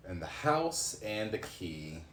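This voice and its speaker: Deep-voiced young man musing aloud. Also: very animated, takes it slowly, fairly bright tone, slight background noise. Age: 20s. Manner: musing aloud, swearing, sudden exclamations. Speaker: streamer doing a card reading. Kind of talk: casual livestream rant. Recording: echoey room with faint ambience.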